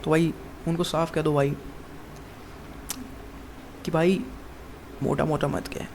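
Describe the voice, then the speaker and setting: A man's voice: on the high side, level tone, slow, vocal fry, a little mellow, a little hiss or hum. A man of twenty-one giving a casual, rambling monologue as a fitness vlogger, calling the viewer "bro" over and over and restarting his sentences. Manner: rambling, addresses viewer as "bhai" constantly, many restarts.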